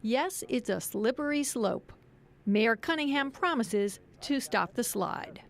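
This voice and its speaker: A woman's voice: fairly high pitch, expressive, takes it slowly, creaky, slight background noise. A woman with an American accent delivering a scripted TV news report as an investigative reporter, with pointed questions.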